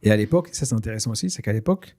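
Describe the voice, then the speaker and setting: A man whose first language is Tashelhit, telling a personal story in a casual, lively way with many fillers and restarts. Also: lowish voice, rapid-fire. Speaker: guest telling his story. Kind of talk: casual spoken testimony.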